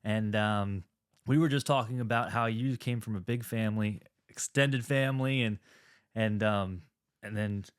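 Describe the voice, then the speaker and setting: A man's voice: on the low side, measured pace, clear, resonant tone. A man hosting an interview in a casual, chatty style. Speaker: interview host. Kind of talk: casual chat.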